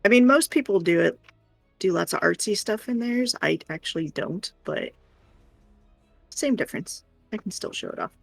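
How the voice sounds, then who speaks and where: A woman with a gravelly, creaky edge and a medium pitch co-hosts a livestream. She reads and answers chat comments in a loose, playful, informal style, recorded dry with faint music underneath. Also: expressive, normal speed, no room sound. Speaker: livestream co-host reading chat. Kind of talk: casual chat, reading comments aloud.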